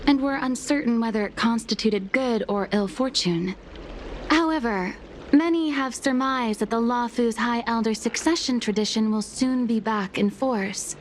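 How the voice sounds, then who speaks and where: A woman's voice: on the high side, normal speed, a little hiss or hum, lightly acted. A woman voicing a devoted young maid who speaks formally and courteously, with an earnest tone, in video game dialogue. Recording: game audio with music underneath. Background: background music.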